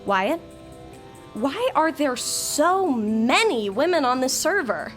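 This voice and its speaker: A fairly high, sardonic young woman's voice from an actress, commanding and dry, with faint music behind. She gives orders as though she expects them to be obeyed. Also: sing-song, slow pace, a bit bright, heavily acted. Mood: wistful. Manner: imperious, sardonic. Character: bossy young woman in a digital afterlife. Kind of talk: audio drama acting.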